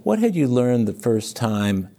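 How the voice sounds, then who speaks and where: A man hosting an interview, asking calm, probing questions. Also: mid-range voice, unhurried. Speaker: interview host.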